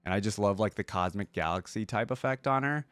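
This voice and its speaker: A man's voice: lowish voice, a little fast, a bit bright, no echo. A man hosting a reaction video, talking informally to viewers and thinking aloud as he comments. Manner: informal, thinking aloud, many likes and you-knows.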